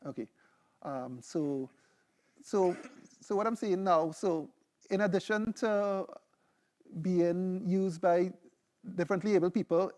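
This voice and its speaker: A man's voice: on the high side, warm tone. Trinidadian man presenting a seminar. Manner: conversational, frequent "right?" and "you know" tags. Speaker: PhD candidate presenting. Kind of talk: seminar presentation with live demo.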